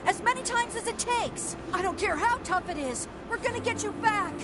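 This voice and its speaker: A woman's voice: extremely high pitch, lively intonation, normal speed, heavy background noise. A woman playing a devoted, earnest young boy in a video game, pleading emotionally with his friend. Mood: tearful resolve. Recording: loud game music behind.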